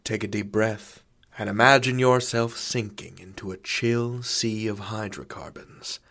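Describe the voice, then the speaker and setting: A man's voice: fairly low pitch, fairly even intonation, a little slow, creaky, fairly bright tone. A man hosting an audio drama podcast, delivering a scripted introduction with theatrical, suspenseful phrasing. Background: music.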